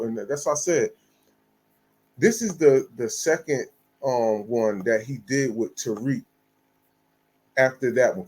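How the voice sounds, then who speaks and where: A man's voice: medium pitch, a little mellow, husky, low-quality recording. A man from the United States giving blunt, slangy reaction commentary, profane and emphatic.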